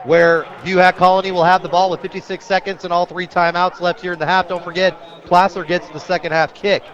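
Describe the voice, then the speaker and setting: A man calling live football play-by-play on the radio, excitable and breaking into shouts on big plays. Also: sits high, a little fast, muffled recording. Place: outdoor football stadium broadcast.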